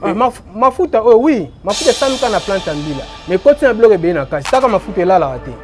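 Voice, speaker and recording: A man from Boma in Congo, a traditional healer, explaining his remedies in an interview, repeating phrases and trailing off low. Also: big pitch swings, rapid-fire, loud music behind the voice. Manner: repetitive, emphatic, trailing off low.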